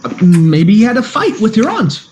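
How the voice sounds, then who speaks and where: An actor playing an eager, bumbling private detective in a comic radio play, with a hesitant, stammering delivery. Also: male voice, sits high, wildly swooping pitch, moderate pace, darkish tone, muffled recording, slight background noise. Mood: puzzled.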